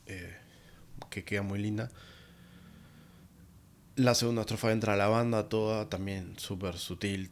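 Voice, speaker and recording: A man hosting a solo podcast, casually reviewing an album and often pausing to find his words. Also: fairly low pitch. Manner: relaxed and rambling, many pauses to find words.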